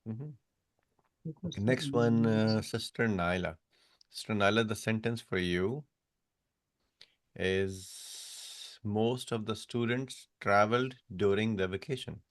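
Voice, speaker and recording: A man's voice: lowish voice, slow pace, pauses often, dry recording, studio quality. Patient man teaching over a call. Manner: patient, correcting students step by step. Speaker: Arabic teacher. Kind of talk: online class instruction.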